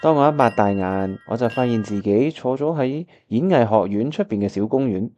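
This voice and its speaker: A man's voice: mid-range voice, animated, normal speed, mellow, slight background noise, close to an everyday voice. A man with a Hong Kong Cantonese accent acting a disheartened, wistful time traveller who narrates his own radio drama. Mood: reflective narration. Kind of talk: first-person radio drama narration and dialogue. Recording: music playing behind the voice.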